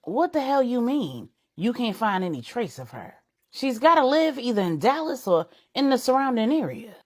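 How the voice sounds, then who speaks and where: A woman's voice: fairly high pitch, very animated, normal speed, darkish tone. A woman voicing a frustrated, demanding male crime family boss in an audiobook, blunt and streetwise. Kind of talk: audiobook character dialogue.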